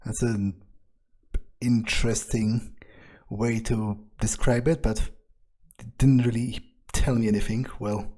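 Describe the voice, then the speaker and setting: Slow, mellow, sardonic man roleplaying a game. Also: medium pitch, level tone, low-quality recording. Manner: amused, sardonic, casual swearing. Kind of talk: in-character game commentary, thinking aloud.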